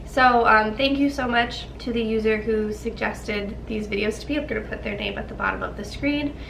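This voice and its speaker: A woman's voice: on the high side, animated, moderate pace, creaky, recorded from a distance, background noise. Stuffy-nosed young woman vlogging in echoey room. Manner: chatty, frequent ums and likes. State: stuffy from a cold. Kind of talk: casual chat to camera.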